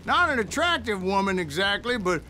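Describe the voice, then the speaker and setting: An actor playing a weathered older gunslinger with an American Western drawl, telling his tales of revenge in a wry, deliberate way for a video game. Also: sits high, very animated, bright, forceful tone, slight background noise, heavily acted. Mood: loud taunting. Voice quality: gravelly. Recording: music behind the voice.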